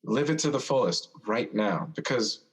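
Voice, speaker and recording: An actor playing a gentle, wistful young man in an audio drama, speaking in a measured, musing way. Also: medium pitch, animated, husky. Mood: gentle, resigned. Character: gentle, philosophical young man, wistful older brother figure.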